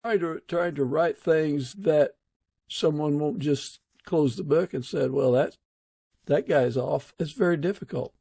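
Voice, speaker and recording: A man's voice: fairly high pitch, a little slow, gravelly, dark tone, slightly rough, no echo, clean studio sound. A man hosting a podcast, putting interview questions to a guest and adding short comments. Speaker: podcast host.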